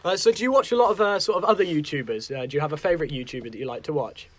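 A man's voice: on the high side, racing. A man interviewing a guest in a studio, asking questions with a lot of hesitant fillers.

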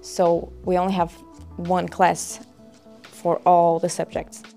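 Young woman, halting speech. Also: on the low side, monotone, vocal fry, warm tone, faint music behind. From Brazil. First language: Portuguese. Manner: halting, restarts, fillers. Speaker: guest student. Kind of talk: casual interview answers.

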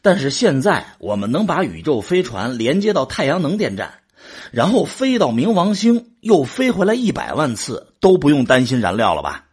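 A man acting a boastful, easily exasperated drinking buddy in a sci-fi radio play. His voice is very husky and rough, and it swings widely up and down as he grumbles and defends himself. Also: on the high side, wildly swooping pitch, normal speed, heavily acted. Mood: exasperated, defensive. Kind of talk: Chinese sci-fi audio drama dialogue.

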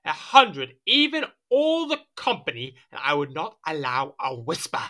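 An imperious, irritable king with a fairly high, piercing voice. His pitch swings widely as he speaks with haughty, theatrical exasperation in a period audio drama. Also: huge pitch swings, moderate pace, pushed and bright, full character voice. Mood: petulant outrage.

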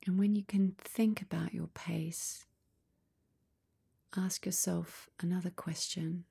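A woman leading a guided meditation in a soft, breathy near-whisper, calm and unhurried, with long pauses between short phrases. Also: lowish voice.

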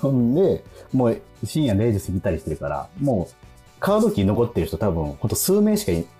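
A man, hosting radio with casual, animated storytelling. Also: fairly low pitch, brisk, slightly rough. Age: 40s. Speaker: radio show host. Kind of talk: casual radio chat with anecdotes. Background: music.

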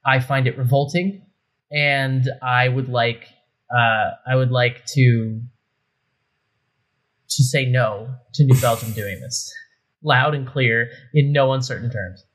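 A man's voice: mid-range voice, slow pace, a bit bright, low-quality recording. A man co-hosting a casual beer podcast, opinionated and emphatic as he rants. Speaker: podcast co-host.

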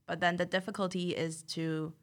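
A young woman from Taiwan, a native Mandarin speaker, talking as a podcast guest in a thoughtful, measured way. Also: lowish voice, level tone. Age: young adult. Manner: thoughtful, measured, uses "like" as filler. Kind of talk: casual chat.

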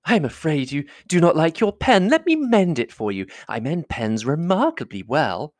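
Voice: medium pitch, sing-song, normal speed, no room sound, clean studio sound, voice pushed up, natural voice. A reader voicing a fawning young society lady in a classic novel audiobook, effusive and coquettish.

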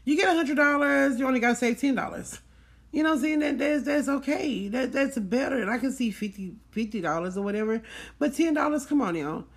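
A woman's voice: high-pitched, fairly quick, gravelly, breathy edge. A woman hosting a book club in a casual chat, informal and repeating phrases for emphasis.

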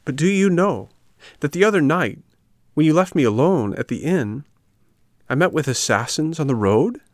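A man voicing an eager, excitable wooden puppet boy in a storybook reading. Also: medium pitch, normal speed, no echo, studio quality, close to an everyday voice. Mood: earnest.

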